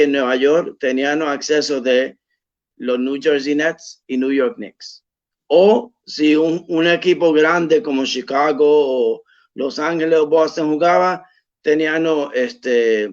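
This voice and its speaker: Man, slow and hesitant, fairly high voice, dull lo-fi recording. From United States. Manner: hesitant, many fillers and restarts. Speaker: imam telling his conversion story. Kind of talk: personal storytelling. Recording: dull lo-fi sound.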